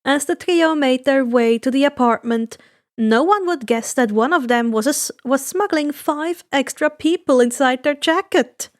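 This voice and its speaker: A woman's voice: high-pitched, normal speed, no echo, studio quality. A woman reading fan fiction aloud as a scripted narration. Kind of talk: scripted storybook narration with character voices.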